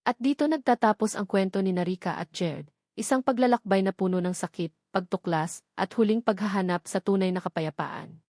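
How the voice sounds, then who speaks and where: A woman narrator with a Filipino accent delivers a scripted dramatic story. Her voice sits at a medium pitch, and the recording is dry, with hardly any room sound. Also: a little fast, darkish tone, no room sound.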